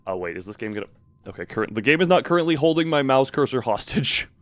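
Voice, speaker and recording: A man's voice: mid-range voice, lively intonation, fast, fairly bright tone, dry recording. A man live-streaming a video game with casual commentary and chat with viewers, rambling in half-finished thoughts with playful sound words.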